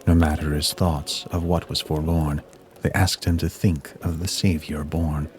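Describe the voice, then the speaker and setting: A man narrating a rhymed Christmas story in verse with a measured, solemn delivery. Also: rumbling low, little pitch movement, a little mellow. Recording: music bed under the voice. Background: music.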